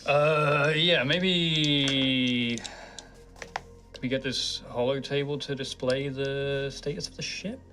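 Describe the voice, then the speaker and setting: A man's voice: medium pitch, steady tone, takes it slowly, music in the background. A man chatting casually as a player in a tabletop roleplaying game, talking through his moves.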